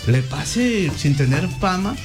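A man, a singer telling his story in a casual interview, animated and quoting others. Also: a little high, slow, music in the background. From Chiriguaná, Cesar, Colombia. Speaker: singer guest.

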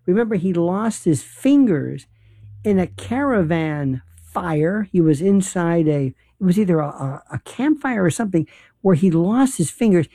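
A man hosting a casual conversation, talking in an animated, halting way with many restarts and fillers. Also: high voice, no echo, studio quality. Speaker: host.

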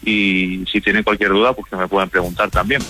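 A man's voice: low-pitched, level tone, fairly quick, pushed and bright, faint music behind. A man from Spain with a Spanish accent, talking chattily as a guest in a casual radio interview and often seeking agreement. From Zamora, Spain.

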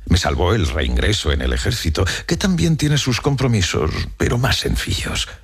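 An actor playing a proud Roman emperor looking back on his youth, reading his memoir in a measured, literary, contemplative way. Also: sits low, huge pitch swings, slightly rough, heavily acted. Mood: reflective. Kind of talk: documentary dramatic reading. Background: music.

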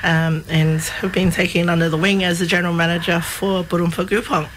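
A woman's voice: on the low side, flat delivery, a little fast, a little hiss or hum, rough recording. A woman with an Australian accent and a voice worn from overuse, talking casually as an interview guest. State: strained voice from overuse. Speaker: general manager, interview guest.

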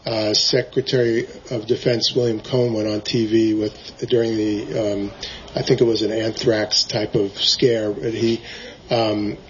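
A man in the audience asking the author a question, soft-spoken and hesitant, with many fillers. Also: fairly low pitch, little pitch movement, a little slow, slight background noise. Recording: dull lo-fi live event recording.

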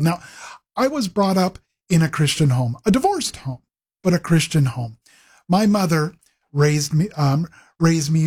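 Husky-voiced middle-aged man, rambling storyteller. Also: on the high side, darkish tone. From Pacific Northwest, USA. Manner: rambling with many fillers and restarts. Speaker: guest, ministry executive director. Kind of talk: conversational interview storytelling.

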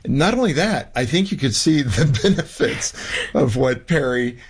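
A man's voice: mid-range voice, expressive, moderate pace, breathy edge. A man hosting a casual radio interview in an encouraging, affirming manner. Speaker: talk show host.

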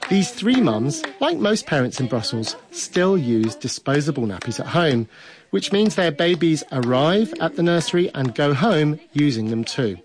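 A man's voice: fairly high pitch, sing-song, moderate pace. A man working as a radio reporter, speaking in an informal, chatty way. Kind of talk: scripted report and relaxed studio discussion.

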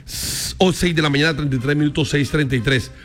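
Storytelling radio co-host. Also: male voice, a little high, fast talker, a bit bright, faint music behind. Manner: storytelling, asks listeners questions. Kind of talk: morning radio talk.

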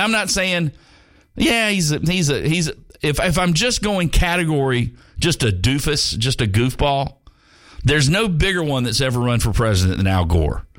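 Animated rambling male sports radio host. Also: a little high, no room sound, studio quality. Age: 50s. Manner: rambling, jokey, many restarts. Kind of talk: casual sports talk monologue.

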